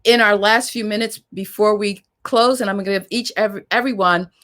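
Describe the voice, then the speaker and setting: A woman's voice: medium pitch, steady tone, brisk, a bit bright. A woman with a mid-range voice acts as the host of a panel. She moderates informally, speaking directly to guests and audience in a conversational way.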